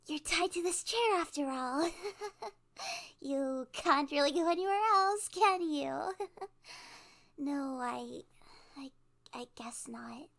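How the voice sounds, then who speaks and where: A woman's voice: high voice, takes it slowly, gravelly, pauses often, fairly bright tone, heavily acted. A woman playing a cute, nervous, obsessive young female kidnapper in an ASMR roleplay, stammering and giggly as she talks. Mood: giddy, flustered.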